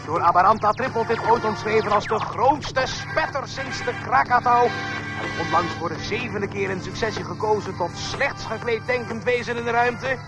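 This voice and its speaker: A slick male newsreader of a galactic radio station , played by an actor, in a radio comedy. His voice is high and showy, like a breezy announcer. Music plays clearly behind him. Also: moderate pace, lightly acted, rough recording. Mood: showy, upbeat. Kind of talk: radio news parody in a radio play. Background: loud music.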